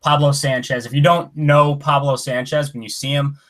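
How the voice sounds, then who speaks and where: A man's voice: a little high, normal speed. Young man hosting a casual podcast. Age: 20s. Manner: relaxed, asks questions with fillers and restarts. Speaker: podcast host.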